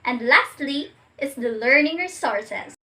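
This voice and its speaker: High-voiced woman presenting a lesson. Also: expressive, measured pace, bright, forceful tone. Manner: explanatory, reading aloud. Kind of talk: scripted educational presentation.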